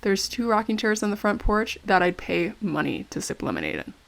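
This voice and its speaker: An actress playing a polite, earnest young investigative reporter with an American accent in an audio drama. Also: female voice, medium pitch, very animated, brisk, gravelly, a little hiss or hum. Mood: wry, confiding. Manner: polite, wry. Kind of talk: audio drama acting, narrated recorder diary.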